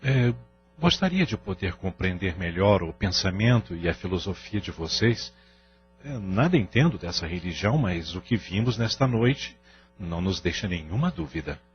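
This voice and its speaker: A man's voice: deep voice, very animated, normal speed. A Brazilian man playing a grateful, respectful householder in an audio drama. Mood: humble, grateful. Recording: dull lo-fi audio with music underneath.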